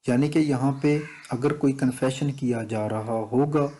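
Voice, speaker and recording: Male teacher, Pakistani accent, dark relaxed mid-pitched voice, step-by-step instructional delivery. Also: normal speed. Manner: methodical, explanatory, switches between reading out and explaining. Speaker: exam prep law teacher. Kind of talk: instructional walkthrough of multiple-choice questions.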